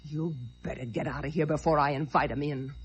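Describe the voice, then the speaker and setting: A woman's voice: sits low, steady tone, a little fast, husky. An actress playing a hard-boiled, scornful woman, taunting and sarcastic, in an old radio crime drama. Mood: cold. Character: bitter, scornful, hard-boiled woman fed up with her man. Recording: dull vintage radio recording.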